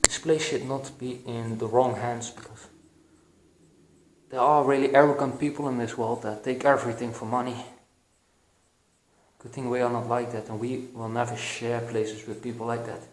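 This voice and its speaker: A young man with a Belgian accent narrating his exploration of an abandoned building to his vlog audience, excited and hushed, with many fillers, recorded on an action camera. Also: medium pitch, moderate pace, rough recording. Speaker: urban explorer vlogger. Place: abandoned building, echoey halls and attic. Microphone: action camera, handheld or body-worn.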